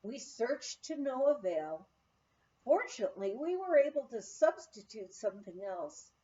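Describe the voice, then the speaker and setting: A woman reading a memoir aloud as an expressive storyteller, acting out the dialogue. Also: on the high side, measured pace, muffled recording. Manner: animated, acts out quoted dialogue. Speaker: audiobook reader.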